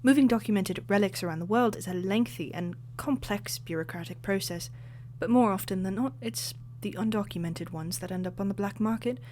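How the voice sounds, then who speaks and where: A woman voicing a female artifact historian and author who explains things in a measured, lecturing way. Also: medium pitch, darkish tone, a little hiss or hum, natural voice. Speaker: expert guest. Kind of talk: audio drama acting.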